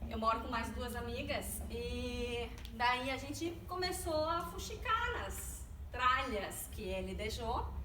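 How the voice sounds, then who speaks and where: A woman's voice: sits high, fairly bright tone. A woman with a southern Brazilian Gaúcho accent giving an informal, conversational public talk. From Rio Grande do Sul, Brazil. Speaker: speaker, interior architect. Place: echoey room at a live event. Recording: echoey room, distant mic, noisy.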